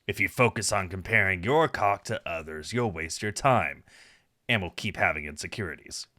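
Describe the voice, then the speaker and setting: A man voicing a calm, worldly male fox adventurer, giving measured, matter-of-fact advice in a visual novel. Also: deep voice, dry recording, studio quality. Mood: calm advising. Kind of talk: visual novel character read-aloud.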